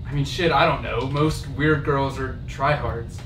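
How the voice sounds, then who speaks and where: A man's voice: mid-range voice, steady tone, measured pace, natural voice. A young man is heard from a distance in an echoey, noisy room. He is acting a blunt, dry, slightly defensive work partner in a casual drama read-through. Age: young adult. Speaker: actor at an amateur table read. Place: indoor kitchen table, far camera. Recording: distant camera mic, roomy, noisy.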